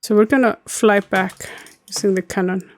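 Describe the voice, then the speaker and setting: A woman's voice: medium pitch, fairly even intonation, moderate pace. A woman in her thirties from Iceland with an Icelandic accent, chatting casually with viewers while she plays a game, with lots of ums and likes. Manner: rambling, many fillers, answering chat. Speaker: streamer. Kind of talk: casual gaming stream chat.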